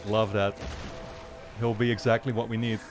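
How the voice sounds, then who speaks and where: A man's voice: lowish voice, little pitch movement, moderate pace, over music. A man in his twenties giving casual reaction commentary in informal, slangy speech, thinking aloud, close on a studio mic. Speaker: anime reaction YouTuber. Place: small furnished room, indoors. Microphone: studio mic on a boom arm, close.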